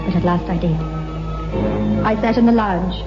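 An actress playing a grieving, lonely widow in a 1960s radio horror drama, speaking in an earnest, anguished, dramatic way. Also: female voice, fairly low pitch, moderate pace, natural voice. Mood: haunted, sorrowful. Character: grieving, lonely widow haunted by a cursed wishing stone. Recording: dull old radio recording with loud music.

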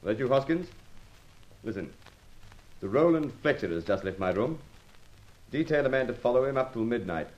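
A bluff, genial police detective acted by a man from an old radio drama. He is hearty and sceptical, with lively swings in pitch and a teasing bluster. The sound is dull and lo-fi. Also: moderate pace, pauses often, lightly acted. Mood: brisk, businesslike. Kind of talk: old radio serial acting.

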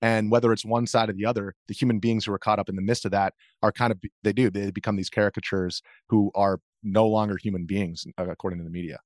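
Lowish-voiced American man, dry sound. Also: steady tone, quick pace, hardly any pauses, a little mellow, no room sound. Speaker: interview guest, video journalist. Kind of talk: conversational, reflective interview talk.

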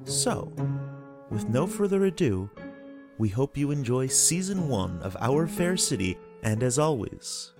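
A man's voice: mid-range voice, sing-song, a little slow, music in the background. A man, the show's executive producer, giving an upbeat, welcoming introduction. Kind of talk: show introduction.

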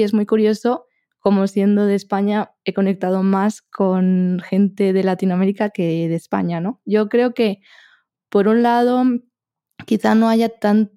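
A young woman with a Spanish accent talks through an interview in a mellow, warm tone. She is soft-spoken, laughs easily and keeps tagging her thoughts with little questions. The sound is close and dry. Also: mid-range voice, smooth, no room sound. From Spain. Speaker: podcast guest, meditation podcaster. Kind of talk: casual interview chat.

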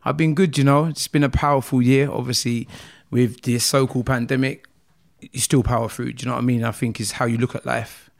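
Gravelly South London man telling his story. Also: mid-range voice, steady tone, brisk. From South London, England. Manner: earnest, rambling, heavy use of "like" and "man". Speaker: podcast guest. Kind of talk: casual interview, telling life story.

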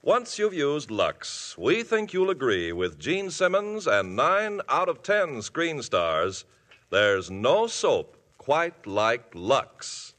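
A man announcing a 1950s radio show, smooth and salesy. His pitch swings widely as he talks. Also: slow. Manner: smooth, lively announcer delivery.

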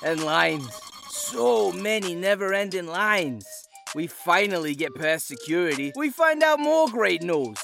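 A man's voice: sits high, very animated, measured pace, gravelly, a bit bright, full character voice. A man playing an indignant, petty tourist dad delivering a scathing, whiny comic review in an audio drama, with emphatic exasperation. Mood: exasperated. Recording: music playing under the voice. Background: music.

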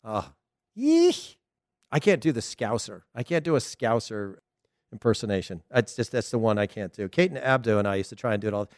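A middle-aged man with a Southern American accent, hosting a casual soccer talk show, chatty and animated with frequent laughs. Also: lowish voice, brisk, dry recording, clean studio sound. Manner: chatty, animated, laughs often, loose restarts. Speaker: soccer podcast host. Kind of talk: casual solo commentary.

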